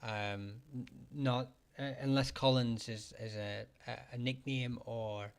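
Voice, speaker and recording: A man hosting a live chat, talking haltingly with many fillers and restarts, his voice getting hoarse as he keeps clearing his throat. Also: mid-range voice, steady tone. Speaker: livestream host answering surname questions. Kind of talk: casual live-chat talk.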